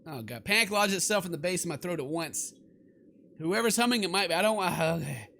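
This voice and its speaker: High-pitched, animated male gaming host. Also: fairly quick, creaky, slight background noise. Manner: theatrical, sarcastic, exclamatory. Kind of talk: let's play commentary mixed with reading game text aloud.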